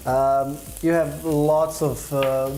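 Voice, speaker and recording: A man hosting a cooking show, chatting with and interviewing his guest, a bit halting when he switches to English. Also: fairly high pitch, flat delivery, warm tone. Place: kitchen studio. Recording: echoey studio kitchen.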